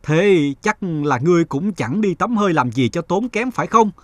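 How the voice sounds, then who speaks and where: A man playing a stern, condescending gentleman who questions people before giving alms in a cartoon morality tale. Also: high-pitched, lively intonation, normal speed, no room sound. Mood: stern, probing.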